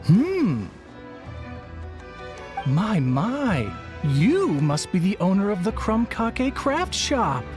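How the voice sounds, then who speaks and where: A smug, sly merchant woman from a video game. She drawls her words slowly with lively rises and falls, over clear background music. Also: medium pitch. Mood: smug, coaxing. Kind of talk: video game cutscene acting. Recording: music behind the voice.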